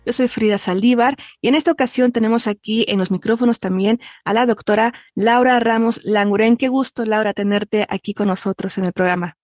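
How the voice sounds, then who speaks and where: A woman hosting a radio program in a clear, measured reading voice. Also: fairly high pitch, a little mellow, dry recording, studio quality. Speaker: radio host. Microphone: studio microphone.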